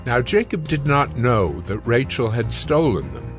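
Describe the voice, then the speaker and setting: Guy narrating bible audiobook, measured storytelling, mid pitch, soft music behind. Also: sing-song.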